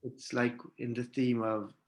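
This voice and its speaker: A man sharing reflections in a halting way as a workshop participant. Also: medium pitch, level tone, slight background noise.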